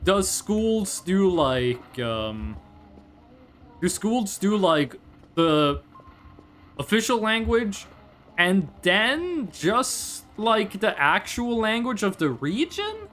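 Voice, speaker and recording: Male game streamer, native Norwegian speaker. High register, slow delivery, rambling off-the-cuff chat with casual swearing. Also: very animated, a bit bright. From Norway. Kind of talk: casual livestream chat over gameplay.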